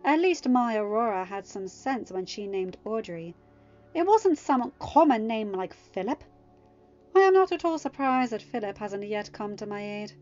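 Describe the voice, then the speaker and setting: A woman's voice: mid-range voice, lively intonation, moderate pace, a little mellow, lo-fi sound, faint music behind, lightly acted. A woman reading as a snobbish old queen. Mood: indignant. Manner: disdainful, scoffing. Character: haughty older female queen, snobbish grandmother.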